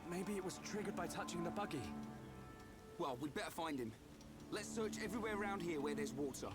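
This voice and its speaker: A man's voice: high voice, expressive, brisk. An actor playing an earnest, inquisitive young male adventurer in a video game cutscene, with game music playing behind. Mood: concerned. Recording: game audio under loud music. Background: loud game music.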